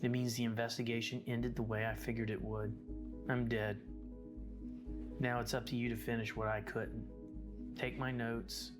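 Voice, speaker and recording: Casting: male actor as haunted investigator narrator, low flat delivery, grave and earnest, clear music underneath. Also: normal speed, long pauses, natural voice. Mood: grave resolve. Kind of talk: scripted horror narration.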